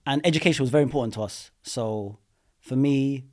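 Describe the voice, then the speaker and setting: A man, a podcast guest telling his story in a relaxed way, full of "you know" and restarts. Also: medium pitch, fairly quick. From London. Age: 30s. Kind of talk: casual interview chat. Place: studio.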